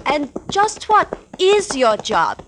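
A woman's voice: sits high, huge pitch swings, slow pace, a bit bright, slight background noise, exaggerated voice. An actress playing a guarded, mysterious woman of twenty in 1940s radio drama style.

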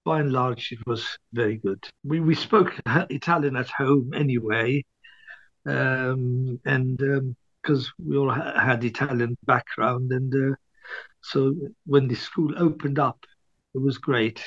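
An older man from Libya, an author, recalling his past in an interview with many restarts and much throat clearing. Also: medium pitch, steady tone, measured pace, fairly bright tone, no echo, lo-fi sound. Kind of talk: hesitant interview reminiscence.

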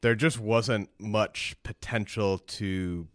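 A man with a very low voice speaks on a podcast, his voice rough and raspy. He talks haltingly and keeps restarting his words. The recording is dry and close. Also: steady tone, no room sound. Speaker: prospect writer, co-host. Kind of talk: casual fantasy baseball chat.

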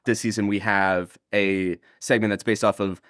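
A man chatting casually as an interview guest, animated and joking, with trailing asides. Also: lowish voice, moderate pace, no echo, clean studio sound. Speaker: audio drama writer and creator, interview guest. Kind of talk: casual conversational interview chat. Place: living room at home, on a video call.

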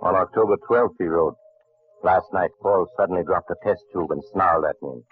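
A man acting a grave, formal doctor who narrates uncanny tales in an old radio drama, speaking deliberately. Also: low voice, music in the background, natural voice. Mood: grave, ominous. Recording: muffled old radio broadcast.

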